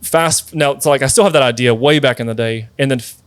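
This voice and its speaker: A man's voice: medium pitch, fast talker, smooth, dry recording. Chatty man of thirty, dry studio sound. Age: 30s. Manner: chatty, many fillers like "like" and "dude". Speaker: guest, sweet tea company founder. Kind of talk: casual interview storytelling.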